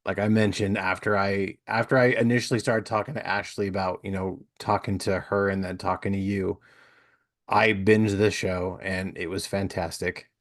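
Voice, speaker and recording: Male interviewer, low register, dry studio-like sound, relaxed conversational podcast hosting. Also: moderate pace, no echo. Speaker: podcast host. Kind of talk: casual interview chat.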